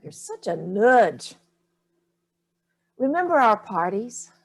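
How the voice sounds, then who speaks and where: A woman's voice: mid-range voice, very animated, slow, vocal fry, full character voice. An older woman playing a haughty, evasive elderly mother in a staged play reading, dismissive and theatrical with barbed remarks. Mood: breezy.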